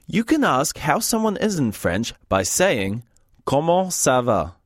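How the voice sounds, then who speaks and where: Lively British man hosting a studio lesson, joking, dry mic. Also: fairly high pitch, measured pace, no echo. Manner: wry, joking teacher. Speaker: language course host. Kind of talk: scripted lesson narration.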